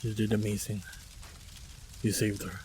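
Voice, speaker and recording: Measured, low-toned man in audio roleplay. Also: level tone, background noise. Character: calm, protective male monster hunter. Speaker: voice actor playing a monster hunter. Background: faint sound-effect ambience.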